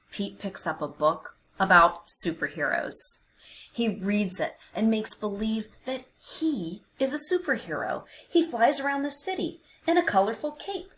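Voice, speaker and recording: A woman narrating a picture book for young children, expressive and deliberate, with dramatic emphasis and hushed phrases. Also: mid-range voice, slight background noise, poor audio. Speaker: children's read-aloud narrator.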